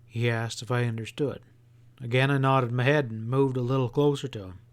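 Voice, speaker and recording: A man reading an audiobook as an expressive first-person narrator with a folksy storytelling manner. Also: medium pitch, a little fast. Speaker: audiobook reader.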